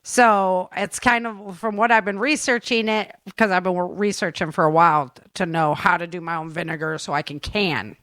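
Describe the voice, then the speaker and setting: A woman's voice: fairly low pitch, fairly even intonation, normal speed, few pauses, fairly bright tone, no echo, clean studio sound. A woman hosting a casual chat, talking in an informal, slangy and effusive way. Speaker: host.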